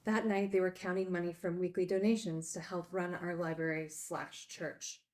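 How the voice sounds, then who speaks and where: A woman's voice: lowish voice, level tone, moderate pace, darkish tone, lightly acted. A woman playing a frank former addict confessing her regrets in a film table read. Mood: regretful, frank.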